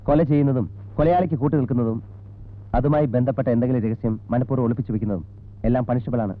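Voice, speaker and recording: An actor playing a persistent, businesslike police investigator in a film drama, putting clipped, probing questions in a Malayali accent. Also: male voice, mid-range voice, fairly even intonation, fast talker, close to an everyday voice. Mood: stern, insistent. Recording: tinny band-limited old film soundtrack.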